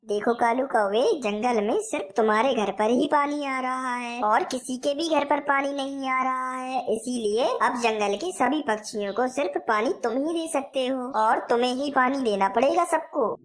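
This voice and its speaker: A woman's voice: high voice, moderate pace, few pauses, natural voice. A woman voicing a fair, concerned parrot king with a formal, earnest manner in a children's cartoon. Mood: firm.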